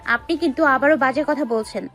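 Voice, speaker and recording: A very young wife voiced by an actress, high-pitched, hurt and stubbornly defiant. She answers in curt, indignant, defensive lines, as in an audio story drama. Faint music plays underneath. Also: fast talker, a bit bright.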